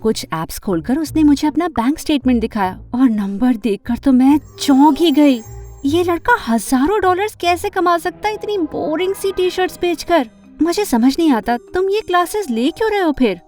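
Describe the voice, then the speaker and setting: A woman playing an ambitious, fashion-loving teenage girl who narrates her own animated story, dramatic and expressive, with English words mixed in. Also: sits high, normal speed, soft background music. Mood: exasperated.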